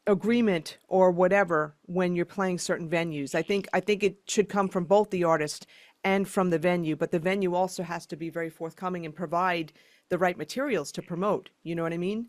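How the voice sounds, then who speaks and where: A woman's voice: lowish voice, no room sound. A woman hosting a podcast interview, talking in a rambling way with many fillers. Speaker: podcast host. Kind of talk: interview chat.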